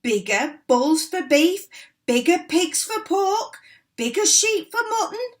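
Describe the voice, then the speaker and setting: Brief: excitable small farmer voiced by a woman, loud and wild. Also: extremely high pitch, takes it slowly, voice pushed up, exaggerated voice. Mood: eager. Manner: excited exclaiming, shouting. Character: small, excitable, easily worked-up farmer father.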